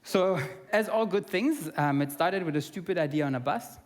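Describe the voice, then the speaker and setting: A young man from Austria gives an earnest conference talk, his voice sitting slightly high for a man. He fills pauses with ums and restarts his phrases often. His voice carries a long reverb from a large conference hall. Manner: earnest, frequent fillers and restarts. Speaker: conference speaker.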